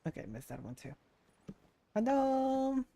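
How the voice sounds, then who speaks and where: A woman's voice: high voice, very animated, measured pace, creaky, dark tone. A young adult woman streaming a game, chatting casually and rambling as she muses aloud. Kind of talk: casual livestream chat while gaming.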